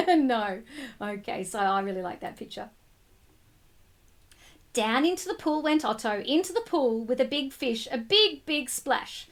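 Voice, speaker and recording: A woman reading a picture book aloud to children, expressive and speaking to them directly. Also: fairly high pitch, measured pace, pauses often. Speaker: school storytime reader.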